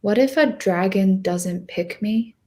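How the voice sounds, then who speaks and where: A woman playing a hopeful, nervous young girl who tells her own storybook tale in a deliberate, expressive reading. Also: mid-range voice, natural voice. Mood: narrating, earnest. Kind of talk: first-person storybook narration.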